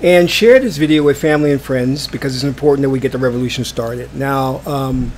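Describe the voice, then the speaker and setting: A man hosting his own live online broadcast, sounding stuffy from a cold and talking in a halting way with many fillers and restarts. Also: mid-range voice, darkish tone, a little hiss or hum, low-quality recording. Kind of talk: casual live commentary. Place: indoors, warm room. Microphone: adjustable microphone.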